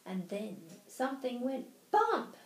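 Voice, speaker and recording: An Australian woman reading a children's story aloud in an expressive style. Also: a little high, slow. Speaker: storybook reader. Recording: roomy home recording, faint noise.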